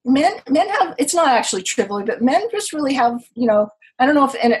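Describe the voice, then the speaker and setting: Husky woman, halting and reflective. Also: high voice, big pitch swings. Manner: halting, searching for words, many restarts. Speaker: guest, poker writer. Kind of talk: podcast interview, reflective.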